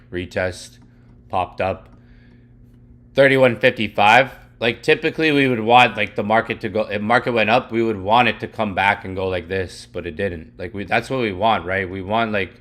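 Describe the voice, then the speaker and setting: A young man teaching live, walking through a price chart in casual, rambling talk full of "like" and "literally", his voice going hoarse and his throat needing clearing. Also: on the low side, fairly bright tone. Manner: rambling, heavy filler "like" and "literally". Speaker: trading educator.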